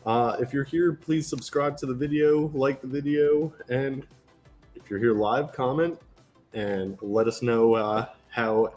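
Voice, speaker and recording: A man's voice: mid-range voice, darkish tone. A man hosting a casual gaming podcast, sounding nasal from a cold and laughing often between remarks. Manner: laughs often, loose and chatty. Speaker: podcast host.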